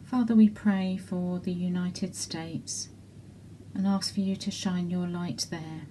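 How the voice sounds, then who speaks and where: Slow, mellow woman leading prayer. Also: lowish voice, steady tone, a little hiss or hum. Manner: measured, pausing between short petitions. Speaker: church intercessor leading prayers. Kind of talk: slow spoken intercessory prayer.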